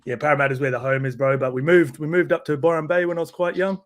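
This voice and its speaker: A young Australian man talks on a podcast in an easygoing, slang-heavy way and laughs often. His voice sits a little higher than most men's. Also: little pitch movement, fast. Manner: laid-back, slangy, says "bro" a lot, laughs often. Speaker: podcast guest. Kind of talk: casual chat.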